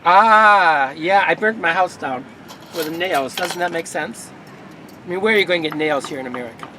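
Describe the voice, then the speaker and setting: A man playing an old-time Norwegian immigrant fisherman, telling tall tales in a droll, deadpan way outdoors. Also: on the high side, moderate pace, recorded from a distance, close to an everyday voice. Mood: droll storytelling. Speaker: living-history storyteller. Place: outdoor festival grounds. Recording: outdoor noise, voice a bit off mic.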